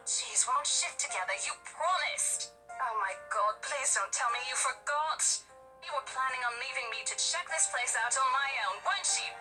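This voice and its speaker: A woman's voice: sits high, normal speed, over music, lightly acted, poor audio. A woman voicing a jittery, teasing young woman who brushes off ghost stories, reading game dialogue aloud.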